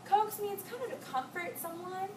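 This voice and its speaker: A woman's voice: very high voice, normal speed. A young woman, a student teacher, reading aloud and talking simply with young children. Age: young adult. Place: indoor school classroom with background noise. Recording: roomy, distant, noisy classroom recording.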